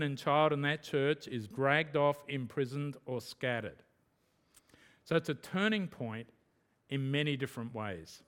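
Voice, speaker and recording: An Australian man delivering a sermon as the pastor, his voice sitting a touch higher than most men's. He speaks earnestly and emphatically, leaning into his main points and repeating them for weight. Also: a bit bright. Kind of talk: expository sermon.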